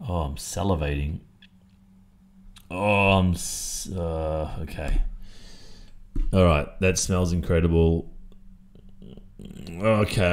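A man's voice: deep voice, darkish tone. A young man with an Australian accent playing a stressed, sleepy, sweet-natured student boyfriend in an audio roleplay, muttering drowsily to himself. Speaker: roleplay voice performer.

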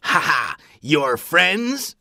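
A woman voicing a blunt, teasing pirate captain in slow, simple cartoon dialogue for English learners. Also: low-pitched, very animated, gravelly, pushed and bright, dry recording, soft background music, heavily acted. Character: gruff pirate captain, teasing and easily startled.

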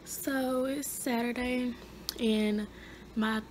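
A young woman in her twenties chatting casually in a vlog from her dorm room, her voice hoarse, filmed on a phone held at arm's length. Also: on the high side, steady tone, extremely slow. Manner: chatty, rambling, everyday. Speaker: vlogger. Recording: noisy phone recording.

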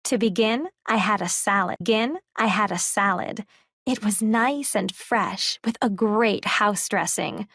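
A woman reading a scripted listening exercise slowly and carefully. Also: mid-range voice, fairly bright tone, husky. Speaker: recorded listening exercise speaker. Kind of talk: scripted read-aloud.

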